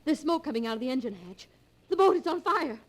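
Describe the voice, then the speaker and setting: High-voiced, clinging radio-melodrama wife. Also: female voice, expressive, fast. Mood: alarmed. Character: clinging, adoring wife, devoted to the point of obsession. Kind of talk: 1940s radio melodrama acting. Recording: noisy old 1940s radio recording.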